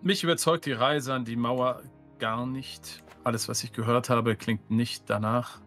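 A man's voice: mid-range voice, steady tone, unhurried, fairly bright tone, faint music behind. A man playing a sceptical, dryly mocking lord in a fantasy role-play game, speaking formally.

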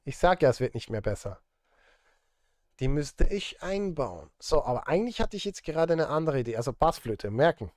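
A man's voice: a little high, very animated, normal speed, darkish tone, no room sound, studio quality. A man, chatting casually on a live stream as he works, with self-deprecating, joking asides. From Switzerland. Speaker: streamer and film composer. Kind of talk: casual live-stream chat while composing. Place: indoor streaming setup.

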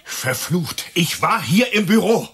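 A man's voice: high voice, expressive, unhurried, fairly bright tone, breathy edge, full character voice. An actor in a radio play, playing an exasperated, defensive architect pleading with his accuser. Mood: indignant. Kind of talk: naturalistic German radio play acting.

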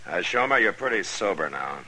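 An American actor playing a steady, patient, plain-spoken town marshal in a 1950s radio western. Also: male voice, lowish voice, a little fast, full character voice. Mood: calm, direct. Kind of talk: 1950s radio drama acting. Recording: noisy 1950s radio recording.

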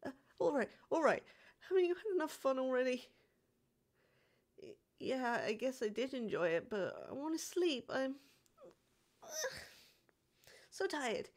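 A man's voice: very high voice, sing-song, measured pace, long pauses, heavily acted. A man playing a bashful young catboy demon who feigns toughness, stammering and pouting in an intimate roleplay audio. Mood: sleepy, pouty. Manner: hesitant, stammering, pouty, softly sighing.

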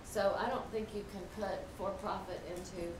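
A soft-spoken woman sharing her table's thoughts in a casual group discussion, somewhat hesitant. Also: mid-range voice, fairly even intonation, normal speed. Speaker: attendee reporting for her table. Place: large meeting room with round tables. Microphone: room camera mic. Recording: roomy, from far off, noisy.

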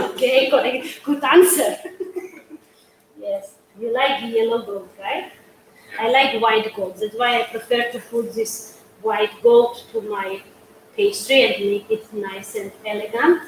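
A woman's voice: a little high, animated, slow. A middle-aged woman from Georgia with a Georgian accent, demonstrating a cake in chatty, broken English and coaxing her viewers along. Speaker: pastry chef. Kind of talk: live cooking demonstration. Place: large studio kitchen, indoors. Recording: echoey studio kitchen, faint hum.

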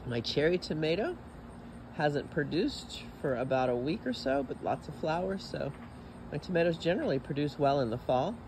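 A man with a Texan accent giving a relaxed, chatty tour of his backyard garden, filmed on a phone as he walks. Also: moderate pace. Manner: relaxed, chatty, lively rises in pitch. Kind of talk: casual walking garden tour. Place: outdoors in a suburban backyard garden. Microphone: phone camera held while walking. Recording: outdoor phone audio with clear background noise.

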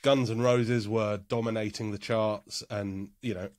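A man's voice: fairly low pitch, little pitch movement, unhurried, a little mellow, dry recording. A man co-hosting a casual podcast, still stuffy and congested from a bad cold. He chats and jokes with easy fillers, close and dry to the mic. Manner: chatty, joking, trails off with fillers. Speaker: podcast co-host. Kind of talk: casual chat.

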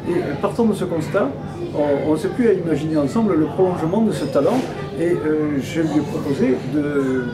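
A man in his fifties explaining in an interview, measured and hesitant, often pausing mid-phrase. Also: on the high side, animated, over a noisy crowd, low-quality recording. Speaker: restaurant owner interviewee. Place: indoor restaurant room. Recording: loud ambient noise behind.

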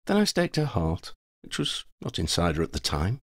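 A man's voice: low voice, huge pitch swings, normal speed, no echo, clean studio sound, heavily acted. A man voicing a brash, tough, playful young woman werewolf hunter in a flippant, cocky way for an audiobook. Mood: flippant, boastful. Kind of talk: audiobook character voice.

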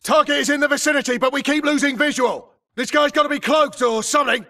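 An actor playing a tough, aggressive infantry grunt in a video game, barking clipped, urgent military callouts. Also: male voice, very high-pitched, steady tone, fast talker, far from the mic, exaggerated voice. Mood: tense, frustrated. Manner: clipped, urgent, forceful delivery. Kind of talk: military combat callouts, short shouted barks.

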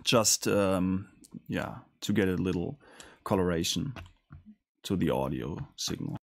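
A man hosting a casual music production tutorial in a studio, talking in a hesitant, halting way. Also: low-pitched, expressive, creaky. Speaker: tutorial host.

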